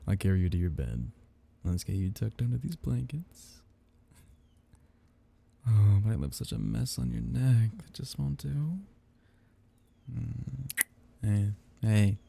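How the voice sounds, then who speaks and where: A man playing a tender, possessive vampire count in an intimate ASMR roleplay, speaking in soft, teasing murmurs with a husky, gravelly voice. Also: on the low side, animated, slow pace, pauses often, full character voice.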